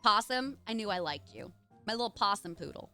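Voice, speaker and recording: Streamer girl gabbing with chat, bright voice, bouncy, quiet music in back. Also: mid-range voice, a little fast. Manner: chatty, warm to chat, excitable. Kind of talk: live game stream, chatting with viewers.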